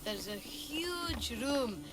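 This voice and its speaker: An actress voicing a feisty, earnest child adventurer in a video game, with a plucky, dramatic way of telling things. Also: female voice, high-pitched, animated, extremely slow, full character voice. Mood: hopeful, eager. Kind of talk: video game cutscene acting.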